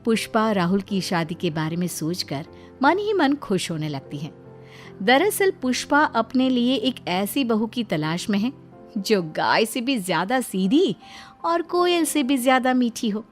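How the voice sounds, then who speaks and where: A woman's voice: a little high, big pitch swings, a little slow, soft background music. A woman reading a scripted moral story as its narrator.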